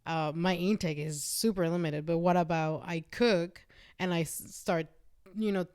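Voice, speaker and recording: Smooth, lowish-voiced frank woman from Mexico. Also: steady tone, a little slow, a little mellow. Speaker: podcast guest. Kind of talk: casual, candid chat.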